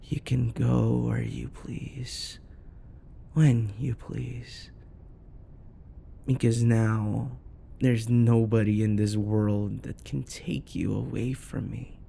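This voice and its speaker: A man playing an unhinged, possessive yandere predator lover in ASMR roleplay, often slipping into breathy whispers and a menacing croon. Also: medium pitch, flat delivery, slow, dark tone, a little hiss or hum. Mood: soothing, possessive.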